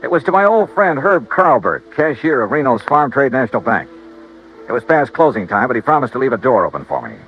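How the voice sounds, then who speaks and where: A hard-boiled insurance investigator from a 1950s radio drama. His delivery is clipped, confident and very animated in pitch. The old broadcast sound is slightly muffled. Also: fairly quick, faint music behind. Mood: brisk narrating. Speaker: lead actor in a radio detective drama. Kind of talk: 1950s radio acting, first-person narration and dialogue.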